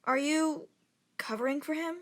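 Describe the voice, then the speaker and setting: A woman's voice: high-pitched, normal speed, lightly acted. A woman playing an anxious young woman passenger who talks in an anxious, accusing way in an amateur audio drama.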